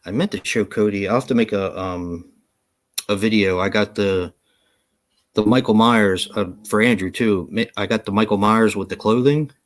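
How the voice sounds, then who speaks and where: A man's voice: on the low side, steady tone, moderate pace. A man co-hosting a live show from home, talking in a rambling, easygoing way with many fillers and easy laughs. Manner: laughs easily. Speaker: podcast co-host. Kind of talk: casual live-stream chat.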